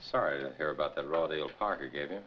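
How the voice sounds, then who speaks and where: An actor playing a rival trucking operator, falsely friendly and pushy with veiled menace, in 1940s film noir style. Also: male voice, extremely low pitch, quick pace. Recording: muffled, noisy 1940s film soundtrack.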